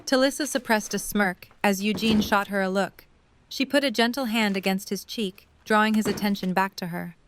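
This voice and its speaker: A woman's voice: medium pitch, normal speed, slight background noise. A woman reading scripted third-person story narration for an audio drama.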